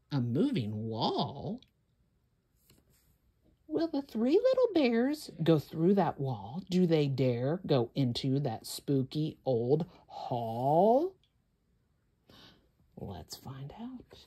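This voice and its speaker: Slow, theatrical woman reading to children. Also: sits low, wildly swooping pitch, warm tone, slightly rough. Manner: suspenseful, theatrical, with whispers and gasps. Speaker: children's storytime host. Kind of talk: dramatic children's read-aloud.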